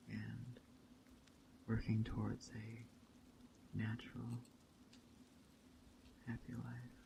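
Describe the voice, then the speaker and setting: A man playing a calm, hospitable witch of a woodland coven in a gentle, unhurried ASMR roleplay that drifts into soft whispers. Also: mid-range voice, creaky, noisy background. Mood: hushed, reflective. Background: rain and forest ambience.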